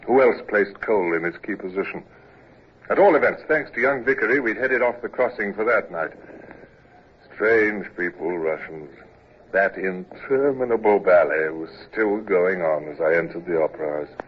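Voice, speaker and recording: A crisp naval commodore, commanding, low voice, muffled old radio broadcast with hiss. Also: male voice. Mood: reflective. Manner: clipped and commanding, with halting restarts. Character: naval commodore, middle-aged man, dry and decisive. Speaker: lead actor and narrator. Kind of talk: 1950s radio drama acting.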